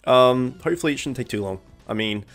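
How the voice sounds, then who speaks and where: A man's voice: mid-range voice, expressive, fast. A young man with a blocked-up nose from a cold, hosting gaming commentary in a casual, rambling way with lots of ums and self-corrections. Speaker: gaming channel host. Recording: faint music bed underneath.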